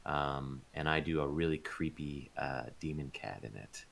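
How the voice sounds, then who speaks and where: Very deep-voiced man chatting. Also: moderate pace, creaky, a bit bright. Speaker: interview guest, voice actor and audio drama producer. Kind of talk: casual interview chat.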